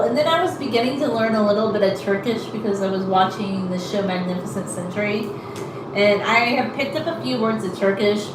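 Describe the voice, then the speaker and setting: A woman's voice: mid-range voice, roomy sound, recorded from a distance, background noise. A woman chatting casually in a rambling way with many fillers while she combs out her hair. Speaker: vlogger.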